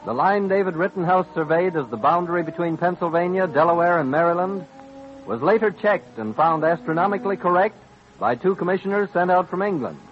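A man's voice: high-pitched, animated, brisk, faint music behind. A man narrating a scripted old-time radio drama in a formal storytelling manner. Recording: dull vintage radio recording.

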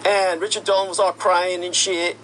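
A man's voice: high voice, little pitch movement, moderate pace, fairly bright tone, slight background noise, rough recording. A man delivering an indignant, accusatory monologue that builds into heated outbursts. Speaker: commentator. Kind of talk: monologue rant.

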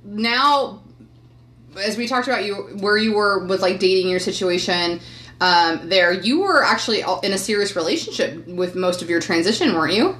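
A woman's voice: mid-range voice, animated, normal speed, a bit bright, roomy sound. A woman hosting a podcast in a casual interview, asking long rambling questions full of restarts and fillers. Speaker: podcast host.

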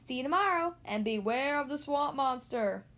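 Woman voicing an excitable, high-pitched little storybook duck. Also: fast. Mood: teasing. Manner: gushing.

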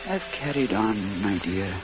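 An actor playing a dying elderly schoolmaster, tender and wistful, halting over his phrases in 1940s radio style. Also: on the low side, animated, music in the background. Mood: weary longing. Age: older. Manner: halting, dwells on repeated phrases. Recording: noisy muffled vintage radio broadcast.

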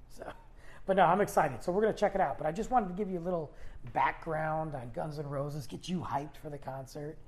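A man's voice: a little high, fairly quick. A man hosting his own podcast, talking casually and rambling in a self-deprecating way from his parked car. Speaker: podcast host. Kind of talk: casual solo podcast chat.

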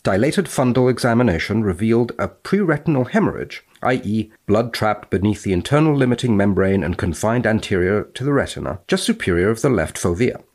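Male scientist guest, low register, precise and measured when explaining or reading a paper aloud. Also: expressive, a little mellow.

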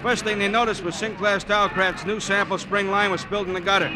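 A man's voice: high-pitched, fairly even intonation, quick pace, noisy background, close to an everyday voice. An actor playing a plain-talking, matter-of-fact city policeman in an old radio drama, reporting in streetwise, colloquial phrases. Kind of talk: 1940s-50s radio drama acting.

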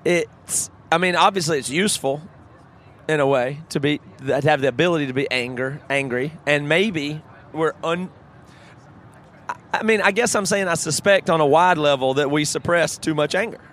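A man's voice: on the high side, moderate pace, a bit bright. A man co-hosting a podcast in casual chat, thoughtful and frank, restarting his sentences as he works through ideas, with an occasional curse. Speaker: podcast co-host.

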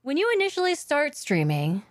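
A woman co-hosting a casual talk show for streamers, chatting with playfully cynical, deadpan teasing. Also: high voice, a little fast, dry recording, clean studio sound. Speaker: podcast co-host and streamer. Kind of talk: casual chat, reacting to online posts.

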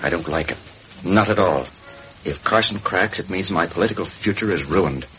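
Very deep, curt, scheming politician. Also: male voice, normal speed, pushed and bright. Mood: grim, commanding. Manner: curt, commanding. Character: scheming, ruthless corrupt politician giving orders. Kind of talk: 1940s radio drama acting. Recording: noisy vintage radio broadcast recording.

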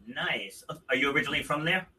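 Bright-voiced chatty podcast host man. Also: fairly high pitch, expressive, fast talker, distant mic. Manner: chatty, self-correcting with laughs. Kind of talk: casual video podcast interview.

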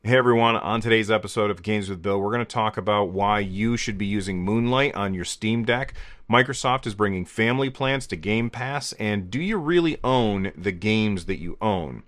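Hoarse, stuffed-up man, chatty gaming host. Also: fairly low pitch, normal speed, fairly bright tone, no room sound. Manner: chatty, enthusiastic, with fillers and restarts. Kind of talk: casual chat about gaming news.

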